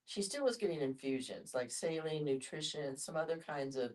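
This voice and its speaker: Woman, deep register, conversational questioner in a group discussion, distantly miked. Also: expressive, moderate pace, darkish tone. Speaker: audience member asking questions. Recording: distant from the microphone.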